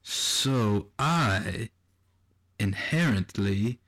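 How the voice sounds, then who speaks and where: A man playing a cold, condescending machine in a radio drama, speaking deliberately and with an air of superiority. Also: lowish voice, expressive, a bit bright, exaggerated voice. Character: cold, superior music-making machine with ambitions of control.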